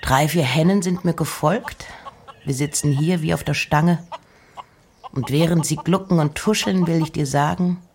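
A woman giving an intimate, measured dramatic reading of poems and letters, at times dropping close to a whisper. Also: sits low, a little mellow, slight background noise. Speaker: reciter.

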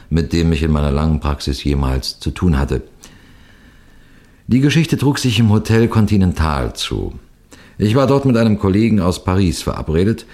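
Deep-voiced seasoned police detective. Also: male voice, a little mellow. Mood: composed storytelling. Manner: measured, precise, formal. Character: seasoned male police detective telling his cases, composed, authoritative. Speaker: narrator. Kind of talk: 1960s radio crime drama narration.

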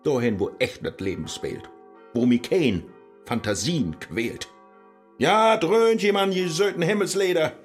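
An actor playing a disillusioned, boastful scholar in a rhymed radio play, theatrical and declamatory, with a Low German accent. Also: male voice, animated, a little slow, soft background music. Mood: tormented. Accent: Low German, northern Germany.

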